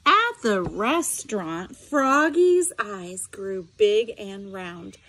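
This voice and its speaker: A woman's voice: mid-range voice, animated, drawn-out words, fairly bright tone, poor audio. A middle-aged woman reading a picture book aloud expressively, with instructive asides spoken directly to students. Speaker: special education teacher reading aloud.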